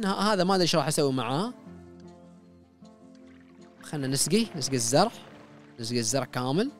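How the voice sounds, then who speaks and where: A man's voice: high-pitched, a bit bright. Young man playing a farm game. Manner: chatty, talks to viewers while playing, repeats short commands. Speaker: gaming YouTuber. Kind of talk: casual let's-play commentary. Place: small home studio room. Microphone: studio mic close up, wearing headphones.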